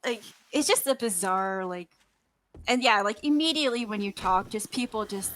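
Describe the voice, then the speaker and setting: A young adult woman chatting casually as an interview guest, informal and halting, peppering her speech with filler "like". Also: medium pitch, a bit bright, no room sound. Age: millennial, young adult. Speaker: interview guest, voice actress and gamer. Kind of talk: casual chat.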